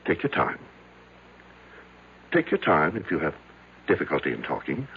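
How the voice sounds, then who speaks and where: An actor playing a calm, patient psychiatrist in an old-time radio drama, speaking in a measured, gently probing way. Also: male voice, low-pitched, creaky, full character voice. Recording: muffled, faintly noisy old radio recording.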